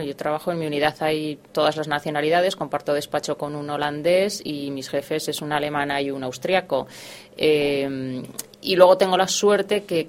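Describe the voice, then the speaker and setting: A middle-aged woman from Aragon with a Zaragoza accent, chatting as an interview guest and laughing easily. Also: deep voice. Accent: Zaragoza Spanish. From Spain, Aragon. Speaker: guest, Spanish official in Brussels. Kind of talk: relaxed radio interview answers.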